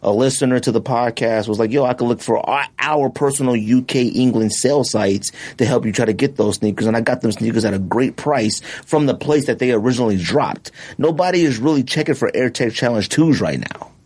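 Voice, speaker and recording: A man co-hosting a casual podcast. His voice sits in an ordinary middle pitch, and he talks in an animated, joking way, repeating lines for effect. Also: brisk, hardly any pauses, breathy edge. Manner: animated, laughs often, repeats phrases for effect. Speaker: podcast co-host. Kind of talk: casual sneaker podcast chat.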